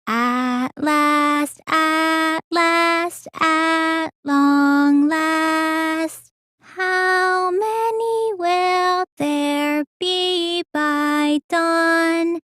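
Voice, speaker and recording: A woman's voice: extremely high pitch, steady tone, very slow, fairly bright tone, exaggerated voice. A woman voicing a sluggish, stubborn, gullible teenage girl magician in an English video game dub, with a lazy, childish drawl that turns whiny. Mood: eerie chanting.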